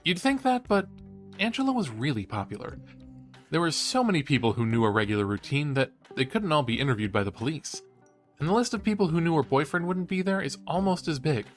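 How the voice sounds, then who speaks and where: An actor playing a cheeky, enthusiastic college student who hosts a true-crime podcast in an audio drama. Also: male voice, fairly high pitch, animated, a little fast, faint music behind, close to an everyday voice. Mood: intrigued storytelling. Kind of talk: conversational audio drama acting as podcast host.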